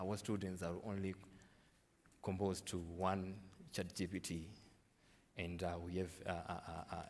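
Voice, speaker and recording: A man moderating a live panel in a conference hall, lively as he calls on audience members. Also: sits low. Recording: echoey hall, faint crowd noise.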